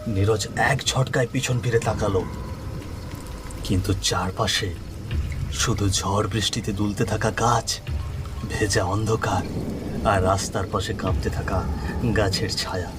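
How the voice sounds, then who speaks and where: A man's voice: on the low side, steady tone, unhurried, pauses often, music in the background. A man narrating a scripted horror story in a dramatic, suspenseful manner.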